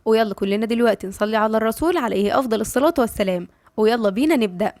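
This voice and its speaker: A young Egyptian woman with a bit of a cold narrates a romance novel for her channel. She talks quickly and slightly high, close to the mic in a dry, dead room. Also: dry recording. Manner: warm, chatty, addressing listeners directly. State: has a cold. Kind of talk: chatty intro then storybook narration.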